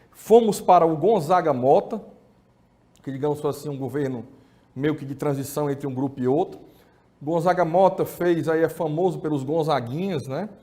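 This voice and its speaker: A man's voice: on the high side, mellow. A man from Fortaleza with a Cearense Brazilian Portuguese accent, hosting a political talk show in a conversational way, often tagging "né". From Fortaleza, Ceará, Brazil. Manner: conversational, frequent "né" tags.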